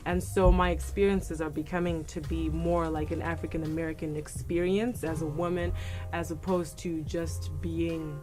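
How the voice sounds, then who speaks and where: A woman's voice: low-pitched, little pitch movement, normal speed, a little mellow, soft background music. A young adult woman from Zimbabwe, an international student guest, reflecting on personal experience in conversation.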